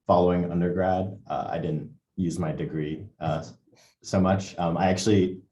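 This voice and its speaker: A man's voice: sits low, a little mellow, roomy sound. A man speaking on a panel over a video call, with a hesitant manner full of restarts. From England. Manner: hesitant, many restarts and fillers. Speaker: MBA panelist. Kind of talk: casual panel discussion. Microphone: computer mic on a video call.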